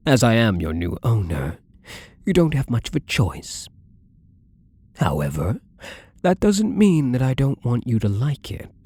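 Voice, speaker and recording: A smug, possessive rich gambler, acted by a man, speaks in a breathy, husky voice for an intimate ASMR roleplay. He takes his time over every phrase, swooping widely in pitch as he teases. The tone is dark and suave, full of theatrical endearments. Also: no room sound, exaggerated voice. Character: possessive, smug, wealthy male gambler doting on captive lover.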